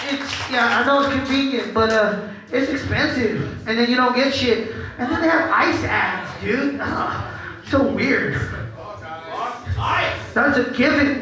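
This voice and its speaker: A man's voice: very high-pitched, noisy background. A punk band frontman talking casually between songs on a live club stage, joking and swearing through a stage mic. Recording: echoey live club with crowd.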